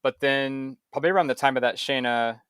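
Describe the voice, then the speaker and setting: A man from Ohio, speaking as a podcast guest, relaxed and self-deprecating, laughing often and restarting his sentences. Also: mid-range voice, fairly quick, clear, resonant tone, no echo, studio quality. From Ohio, USA. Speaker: guest, juggler. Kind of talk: casual interview chat.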